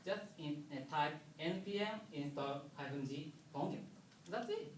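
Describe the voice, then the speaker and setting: A man from Japan with a Japanese accent, a non-native English speaker giving a technical conference presentation with halting, pause-filled phrasing. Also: fairly high pitch. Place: lecture room. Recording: echoey room, distant mic, faint noise.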